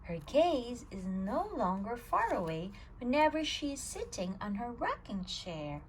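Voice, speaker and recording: Lady reading a kids book out loud, real slow, pitch jumping all over, a bit high. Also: slight background noise, rough recording. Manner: slow, expressive storytelling with a swinging voice. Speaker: storytime reader. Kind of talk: reading a children's picture book aloud.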